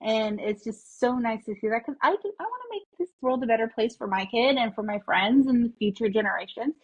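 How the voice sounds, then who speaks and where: A woman's voice: a little high, animated, brisk, slightly rough, poor audio. A woman guest on a casual interview, an enthusiastic fan who sometimes drops to a whisper. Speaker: podcast guest, mother.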